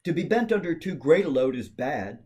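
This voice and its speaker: A man giving a dramatic reading of a political speech, declaiming it with emphatic, measured phrasing. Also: fairly high pitch, sing-song, darkish tone. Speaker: amateur reader of a historical speech.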